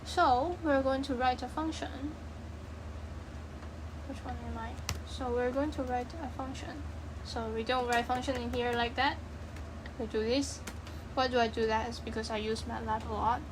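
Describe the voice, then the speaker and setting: A woman's voice: fairly high pitch, steady tone, moderate pace, noisy background. A woman giving an informal coding tutorial, thinking aloud and answering her own questions as she goes. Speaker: programming tutorial presenter.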